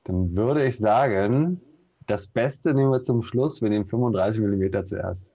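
A man in his thirties with a Berlin accent, co-hosting a casual live stream from home, relaxed and full of hesitations. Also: on the low side, normal speed, slightly rough. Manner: relaxed, many fillers and hesitations. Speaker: co-host. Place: home room with bookshelf. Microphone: video call webcam. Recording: dull lo-fi video call audio.

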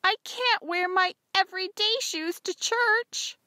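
An earnest young farm girl, acted out by a woman for a storybook. Her voice sits high and swings widely in pitch, expressive and full of feeling. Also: normal speed, no echo, studio quality. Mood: pleading, upset. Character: young farm girl, eager, pleading and emotional. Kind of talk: audiobook character voice.

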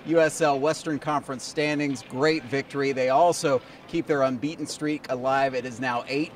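A man's voice: medium pitch, steady tone, moderate pace. A man in his thirties presenting a sports recap to camera on a handheld microphone outdoors. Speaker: team reporter and presenter. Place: outdoors in an empty stadium at night. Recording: distant-sounding mic, faint outdoor noise.

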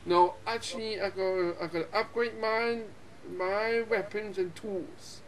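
A young man hosting a multiplayer game session, excitable and quick to laugh, talking over his friends on a voice call. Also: high-pitched, slight background noise, rough recording. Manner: excitable, laughs often, talks over others. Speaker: gameplay channel host. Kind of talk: casual multiplayer gaming chat.

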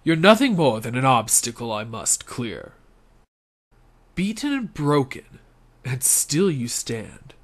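A man's voice: mid-range voice, big pitch swings, slow pace, heavily acted. A man voicing a grim, haughty villain warrior in a menacing, theatrical way for a video game. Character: grim, haughty male villain warrior serving dark lord. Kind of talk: video game character acting.

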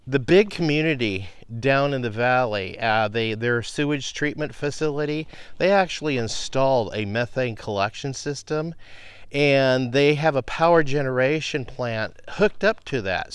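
A man in his fifties explaining things casually to the camera outdoors, in a halting, thinking-aloud way. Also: mid-range voice, clear, resonant tone, dry recording. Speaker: homestead vlogger. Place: outdoors in a grassy rural yard.

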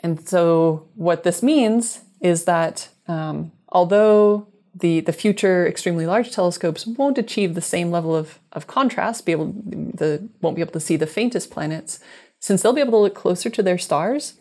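A woman's voice: fairly low pitch, normal speed, darkish tone. A woman in her thirties speaking as a scientist guest in an interview, explaining technical things in a thoughtful, careful way. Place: small room indoors, video call. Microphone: wired earbuds headset on video call.

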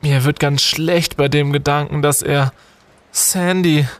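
An actor playing a pompous, eager young student detective leader in an audio drama, with bookish wording. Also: male voice, a little high, steady tone, measured pace, dry recording. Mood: eager, indignant.

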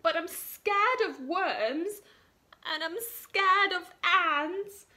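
A jittery scaredy-cat cook, as a woman puts him on, squeaky high and bright, wobbling with fright, drawn out slowly like a storybook read aloud. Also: heavily acted. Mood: fearful. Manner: shaky, high and quavering with fear. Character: timid fretful male cook, easily frightened.

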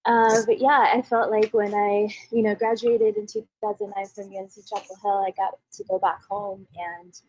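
A woman from Mexico introducing herself on a video panel as a coffee shop owner, in a relaxed storytelling manner. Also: medium pitch, normal speed, hardly any pauses, lo-fi sound, slight background noise.

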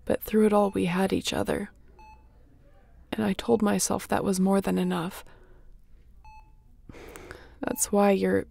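A heavily creaky female space captain, acted by a woman in a sci-fi audio roleplay. She speaks softly and openly at a middling pitch, battle-worn but tender, with a wry streak and a breathy edge. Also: flat delivery, a little slow, long pauses. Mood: tender, confessional. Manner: soft, sighing, emotionally open. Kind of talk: intimate audio roleplay acting.

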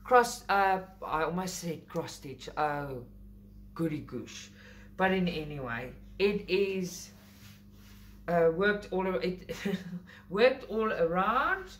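A woman's voice: on the low side, animated, slow, a little hiss or hum. Chatty South African woman unboxing crafts. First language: Afrikaans. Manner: chatty, enthusiastic, rambling with self-corrections. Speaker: craft channel host. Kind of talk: casual unboxing talk to camera. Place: indoors at a table, filming overhead.

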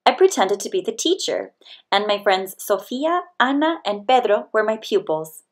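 A woman reading a children's picture book aloud as scripted narration, with expressive, deliberate storytelling delivery. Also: mid-range voice. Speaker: storybook reader.

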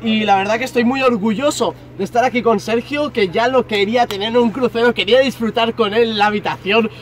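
Very high-pitched teenage lad from Spain. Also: male voice, fairly even intonation, a little fast. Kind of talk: casual video testimonial. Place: outdoors at open hilltop ruins.

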